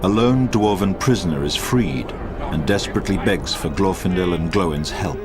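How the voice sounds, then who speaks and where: A man's voice: sits low, normal speed, natural voice. A man acting as a solemn storyteller, narrating an epic fantasy war for a game in a grave, dramatic manner. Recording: loud music behind the voice. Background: loud music.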